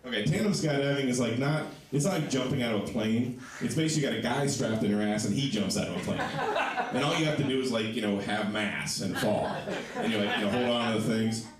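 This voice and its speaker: Low-voiced guy doing standup in a little club, bouncy delivery, roomy echo. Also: fast talker, hardly any pauses, over a noisy crowd. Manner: animated storytelling, voices for others. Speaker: stand-up comedian. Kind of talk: stand-up comedy set. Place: small comedy club. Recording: echoey club sound from a distant mic.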